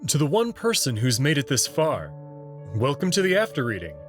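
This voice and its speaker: A man serves as narrator, reading from a script. His mid-pitched voice moves with lively intonation, and music plays behind him. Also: normal speed. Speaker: narrator and reader.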